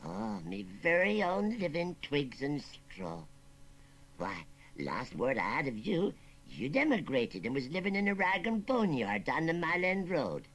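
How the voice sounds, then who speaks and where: An old scarecrow uncle from a children's storybook, with a West Country burr. The voice is rough and bright, rising and falling freely. He rambles in a folksy, put-upon, plaintive way. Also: fairly high pitch, very animated, a little slow, voice pushed up, heavily acted. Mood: fond, nosy. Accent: English West Country.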